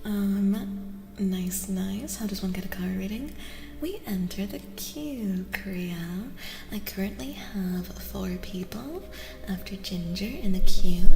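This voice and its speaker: A woman streams a gentle, soft-spoken tarot reading in a casual ASMR style. Her unhurried voice often sinks into a whisper and carries some creak. Long reverb surrounds her, and music plays clearly behind. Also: medium pitch.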